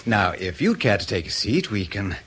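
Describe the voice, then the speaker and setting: An actor playing a polite, evasive English intelligence interrogator in a radio drama, measured and officious with a steely edge. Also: male voice, lowish voice, very animated, fairly bright tone, a little hiss or hum. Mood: polite, insistent.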